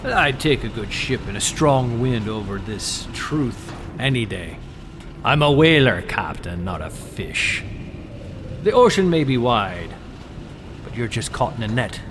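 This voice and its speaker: A blunt, sceptical harpooner held captive in a sea adventure radio drama. His mid-range voice rises and falls sharply, drawing words out slowly and mockingly. A clear rumble sits behind him. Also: very animated. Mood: fed up, defiant. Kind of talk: dramatic audio drama acting.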